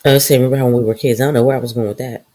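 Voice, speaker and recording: Congested, husky woman with sassy comebacks. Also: extremely low pitch, steady tone, rapid-fire, dark tone. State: congested, stuffy nose. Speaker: podcast co-host. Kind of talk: casual chat.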